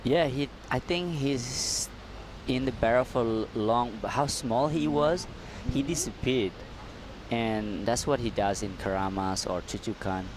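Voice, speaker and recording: A man's voice: lowish voice, noisy background. A man with an Indonesian accent co-commentating live surfing, playful and laughing, with loose, broken phrasing.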